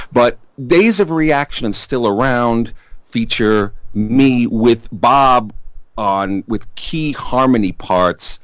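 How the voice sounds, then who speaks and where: New york bass player guy, deep baritone, rambly and chatty, dry close sound. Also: dry recording. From Staten Island, New York. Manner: rambling, chatty, many fillers and restarts. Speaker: bass player in a rock band. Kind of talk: casual interview answers.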